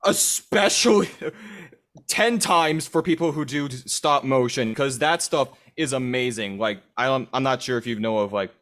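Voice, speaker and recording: Mid-pitched man in casual conversation. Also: expressive, unhurried, fairly bright tone. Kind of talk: casual group chat.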